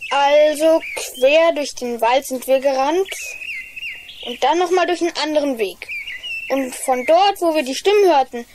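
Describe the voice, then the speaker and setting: A woman's voice: high-pitched, measured pace, darkish tone, slight background noise. A woman playing a doubting, know-it-all young boy in a children's radio play, blunt and questioning. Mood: puzzled.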